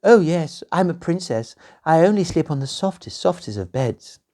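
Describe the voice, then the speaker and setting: A storyteller voicing a dainty, delicate young princess with a breathy, cooing manner. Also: medium pitch, lively intonation, normal speed, warm tone, voice pushed up, lightly acted. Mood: dainty, pleased.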